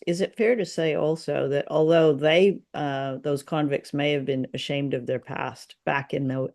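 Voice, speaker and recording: A woman's voice: sits low, darkish tone. A woman co-hosting a casual discussion, explaining things conversationally and putting questions to her partner. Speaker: show co-host. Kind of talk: casual discussion of an old radio drama.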